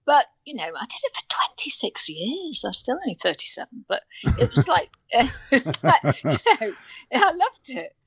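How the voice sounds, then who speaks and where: A woman's voice: sing-song, moderate pace, breathy edge, no room sound. An older woman from North Wales, chatting as a guest and telling stories with lots of laughter. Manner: bubbly storyteller, laughs often and breaks into high squeals. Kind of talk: casual chat.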